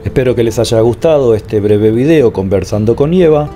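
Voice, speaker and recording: A man reading a calm, scripted voice-over narration for a travel video. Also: medium pitch, moderate pace, over music.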